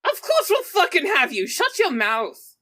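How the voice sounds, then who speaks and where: A woman's voice: extremely high pitch, huge pitch swings, measured pace, sharp tone. A woman role-playing in a tabletop game with casual, theatrical, flamboyant banter. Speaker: player in a tabletop game podcast.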